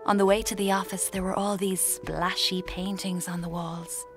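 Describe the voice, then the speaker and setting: A young woman with an Irish accent acting a morbid, cheeky aspiring writer in a radio drama, narrating in a hushed, deliberate way. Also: medium pitch, flat delivery, over music, natural voice. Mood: morbid, brooding. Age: young adult.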